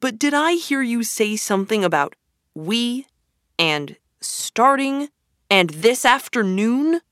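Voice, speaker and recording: A stubborn, sensible male water rat, voiced by a woman reading a storybook. He speaks doggedly and firmly, a little higher than her plain reading voice. The recording is dry. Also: sing-song, slow pace, fairly bright tone, no room sound, full character voice. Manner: dogged, firm.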